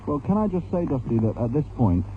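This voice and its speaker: A man's voice: mid-range voice, very animated, a little fast. A British man hosting a live radio interview, with wordy, jokey announcer phrasing. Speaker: radio programme host. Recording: tinny band-limited old radio broadcast, hiss.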